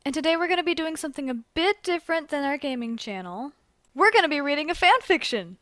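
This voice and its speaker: A woman with a high voice talks casually as a channel host. She is a bit hesitant, and the sound is dry and close with no echo. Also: lively intonation, fast talker, fairly bright tone. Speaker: fan fiction reader and gaming channel host. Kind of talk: casual intro chat.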